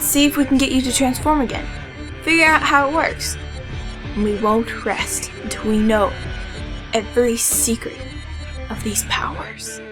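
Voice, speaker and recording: Casting: woman as young male brainy sidekick, high voice, excitable, slightly paranoid, casual slang, audio drama. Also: a little slow, over music, lightly acted. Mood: excited, bossy. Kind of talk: fan audio drama acting.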